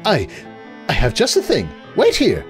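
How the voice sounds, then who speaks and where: Man putting on a high, dainty lady pony. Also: huge pitch swings, a little slow, soft background music. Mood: queasy politeness. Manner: affected, polite, genteel. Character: dainty, gushing, ladylike female fashionista pony.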